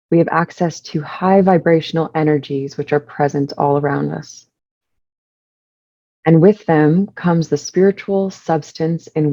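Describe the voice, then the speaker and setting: A woman leading a guided meditation, giving soothing instructions in measured short phrases with pauses between them. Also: sits low, mellow, lo-fi sound.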